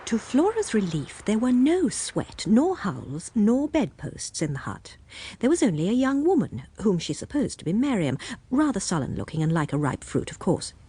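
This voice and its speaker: A woman's voice: medium pitch, big pitch swings, normal speed, mellow, husky. A woman playing a novelist narrating a radio drama, with an arch, ironic manner. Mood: wry. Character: woman novelist narrating, dry and wryly amused.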